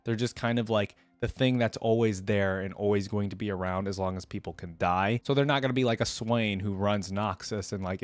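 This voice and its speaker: A man's voice: lowish voice, no echo. A man talking casually as a reaction video host, rambling conversationally to viewers with frequent hedging asides. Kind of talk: casual unscripted game reaction commentary to viewers.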